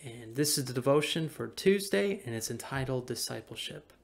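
An American man in his thirties, a pastor reading a devotional aloud in a measured, earnest, formal way. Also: medium pitch, expressive, gravelly. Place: small indoor room at home or office. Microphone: webcam or laptop mic at desk distance.

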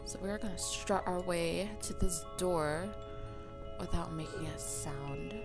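A slow-talking woman gamer, her voice a little raspy from just waking up, reacts playfully and in mock outrage as she plays. Game music plays loud under her commentary. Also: fairly low pitch, fairly even intonation. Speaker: let's play gamer. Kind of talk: casual let's play commentary.

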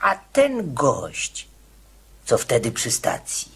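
An actor playing an anxious, eager, simple-minded prisoner in a radio drama, excitable, pleading and insistent. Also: male voice, mid-range voice, slow pace, gravelly, full character voice. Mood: nagging.

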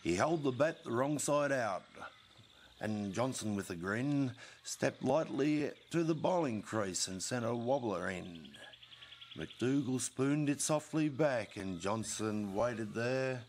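A middle-aged man recites a rhyming bush ballad at a leisurely pace. His medium-pitched voice rises and falls with emphasis, telling the comic tale dramatically. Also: animated, slow pace, a little hiss or hum. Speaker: poetry reader.

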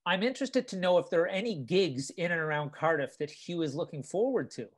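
A man with a slightly high voice hosts a live online question-and-answer session over a video call. He reads out audience questions and responds in a relaxed, agreeable way. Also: a little fast. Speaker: event host, moderator.

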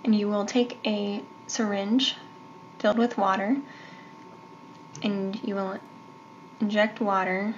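A woman giving a step-by-step instructional demonstration of medical equipment. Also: mid-range voice. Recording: dull lo-fi sound, faint noise.

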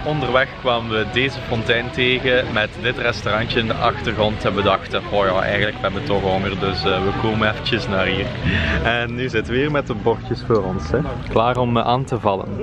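A man's voice: medium pitch, fairly even intonation, normal speed, loud music behind the voice. A man in his thirties with a Flemish accent, chatting casually as a travel vlogger. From Belgium. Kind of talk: casual vlog chat. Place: car, restaurant terrace and outdoors on holiday. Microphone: handheld camera at arm's length.

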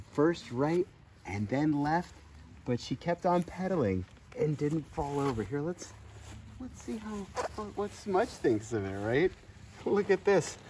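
A man in his forties reads a picture book aloud with lively, swooping pitch. His voice is mellow and expressive, playful as a teacher talking to small children. It is recorded outdoors, with faint background noise. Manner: expressive, playful asides to a goat. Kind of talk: animated read-aloud storytelling for children. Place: outdoors on grass in a farm field.

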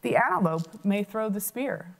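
A woman voicing an old male leopard king who speaks in a measured, dignified, kindly way. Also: mid-range voice, expressive, vocal fry. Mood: wise.

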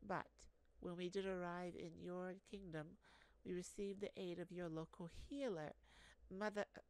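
A woman's voice: fairly low pitch, fairly even intonation, moderate pace, darkish tone, clear, resonant tone, lightly acted. A woman voicing an earnest, formal, slightly hesitant princess in a fantasy audio roleplay. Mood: nervous, earnest. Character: earnest, eager princess on a quest, deferential. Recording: faint background noise.

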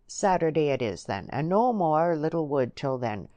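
An earnest, smitten young farm lad from a storybook, played by a woman. He talks bluntly in rural English dialect. The voice is low and closely recorded, with a dry sound. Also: big pitch swings, normal speed, warm tone, no echo. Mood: eager, insistent. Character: rustic young countryman, bashful, smitten, earnest suitor.

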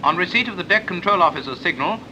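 A British man narrates a wartime-era training film in clipped, orderly sentences. His voice sits a little high for a man. The old 1940s soundtrack is clearly noisy. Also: animated, fast, highs cut off.

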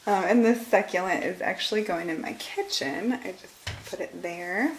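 A woman's voice: mid-range voice, moderate pace, slight background noise, rough recording. A woman in her forties with a Michigan accent, chatting casually as she films a tour of her house, laughing often and trailing off mid-thought. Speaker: vlogger. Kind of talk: casual home tour vlog. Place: house, indoors, moving through rooms.

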